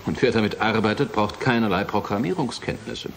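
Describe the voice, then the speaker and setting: A man's voice: lowish voice, fairly quick, vocal fry, a little hiss or hum. A male actor playing a self-assured institute president who explains things formally in a German radio play.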